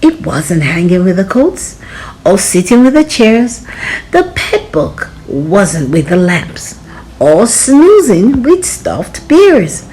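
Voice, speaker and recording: A woman reading a children's storybook aloud in an expressive, dramatic way, drawing out words for young listeners. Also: mid-range voice, slow, a little hiss or hum, low-quality recording.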